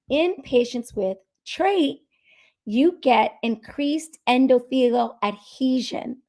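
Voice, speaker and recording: A woman with a cold and a hoarse voice, giving an explanatory medical talk at a seminar and repeating key points. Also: fairly high pitch. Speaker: medical speaker.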